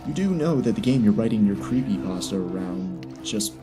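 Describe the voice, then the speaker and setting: A man's voice: on the low side, animated, normal speed. A man giving sarcastic, exasperated commentary as he narrates and critiques a story. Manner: sardonic, exasperated. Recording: music behind the voice.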